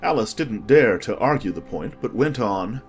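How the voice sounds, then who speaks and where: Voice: medium pitch, lively intonation, moderate pace, soft background music. An audiobook narrator reading a scripted children's story.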